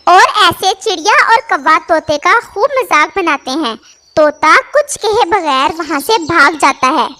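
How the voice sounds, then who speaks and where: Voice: extremely high pitch, animated, measured pace, bright, forceful tone. A narrator reading a scripted moral story for children.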